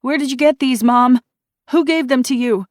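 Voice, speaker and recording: Woman voicing a frantic, shrill teenage girl. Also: normal speed, no echo, studio quality. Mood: angry. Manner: desperate, pleading, shrill. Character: frantic teenage girl begging her mother.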